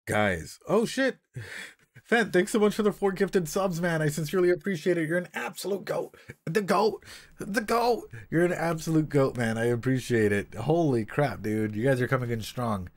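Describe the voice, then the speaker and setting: A man's voice: a little high, expressive, moderate pace. A man hosting a livestream in a casual, slangy, sweary way, rambling through reaction and analysis and often repeating his phrases. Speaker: livestream host and practising therapist.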